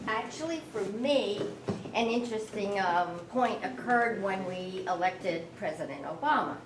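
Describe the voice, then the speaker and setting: A woman's voice: mid-range voice, expressive, measured pace. A woman speaking bluntly and with strong opinions in a group discussion. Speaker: forum participant. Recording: echoey room, distant mic, faint noise.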